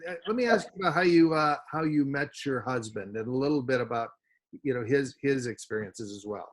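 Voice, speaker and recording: Male interviewer, mid-pitched, hesitant and filler-heavy in his questions. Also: animated, a little fast.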